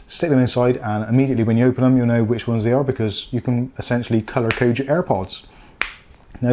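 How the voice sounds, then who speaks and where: Male review host, mid-pitched voice, casual explanatory delivery for unboxing videos. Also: darkish tone. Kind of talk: casual scripted-feel product review to viewers.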